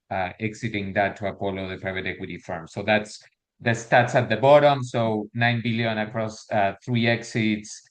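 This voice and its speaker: A middle-aged man with an Argentine Spanish accent presents a workshop over a video call. His voice sits fairly low, and he talks in a halting way, restarting phrases and filling pauses with ums and uhs. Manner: hesitant, many fillers and restarts. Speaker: workshop speaker.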